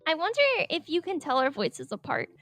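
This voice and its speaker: A woman casually narrating her drawing process over a speedpaint, chatty and giggly, rambling with lots of filler words, speaking higher than her usual voice. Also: sing-song, brisk, no room sound. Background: soft background music.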